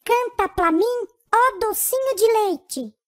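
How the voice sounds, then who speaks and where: A mischievous little cartoon boy, played by a woman, with a piping, very high voice. He teases in a slow, cheeky delivery, with light music behind him. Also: animated, exaggerated voice. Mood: bossy. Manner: teasing, cheeky. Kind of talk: children's cartoon voice acting in Portuguese.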